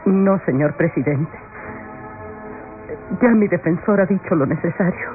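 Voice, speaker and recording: A woman's voice: lowish voice, measured pace, natural voice. An actress playing a dignified, resigned mother on trial in a classic radionovela, speaking solemnly and sorrowfully. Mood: resigned, grateful. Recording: tinny old radio, music behind.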